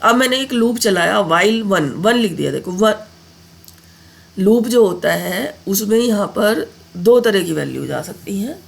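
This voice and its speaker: A woman's voice: medium pitch, animated, measured pace, vocal fry, breathy edge. A woman with a sore throat and a cold, teaching a programming lesson in a casual way and often asking her students "okay?" with a lift in her voice. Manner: keeps checking in with a rising "okay?". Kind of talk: casual Hindi coding lesson.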